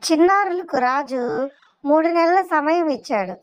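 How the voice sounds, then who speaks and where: A woman's voice: extremely high pitch, expressive, moderate pace, noisy background. A woman presenting and narrating a story as a schoolteacher would, in a scripted storytelling style. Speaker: teacher presenting and narrating a children's school skit.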